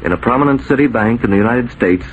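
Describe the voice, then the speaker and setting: A man's voice: mid-range voice, fast talker, highs cut off. A man narrating as a 1940s radio announcer, dramatic and declamatory. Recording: noisy 1940s radio recording.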